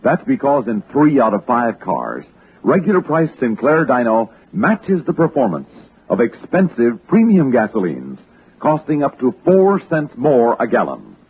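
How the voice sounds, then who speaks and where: A man's voice: medium pitch, wildly swooping pitch, measured pace, breathy edge. A man with an American accent announcing in polished, emphatic 1960s radio style, reading sponsor messages and credits. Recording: narrow-band 1960s radio with faint music.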